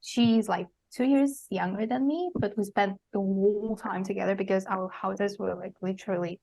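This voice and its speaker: Young woman guest from Kazakhstan, warm mellow tone, rambling casual storytelling. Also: medium pitch, steady tone, moderate pace, creaky, low-quality recording. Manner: meandering, full of fillers and restarts. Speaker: podcast guest.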